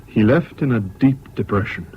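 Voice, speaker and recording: An actor narrating a British radio drama in the first person as a reflective, dryly ironic Englishman with a measured manner. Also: fairly low pitch, lightly acted. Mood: wry, reflective. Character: wry, reflective male friend narrating the story. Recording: dull, hissy old radio recording.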